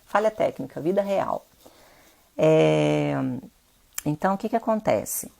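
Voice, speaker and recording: A low-pitched Brazilian woman with a gravelly edge to her voice. She sounds stuffy and nasal from rhinitis and talks in a chatty way to the viewers of her live stream. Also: animated, slow pace, darkish tone. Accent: Brazilian Portuguese. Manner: chatty, addresses viewers directly. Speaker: interior designer hosting a live stream. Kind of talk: casual live-stream talk.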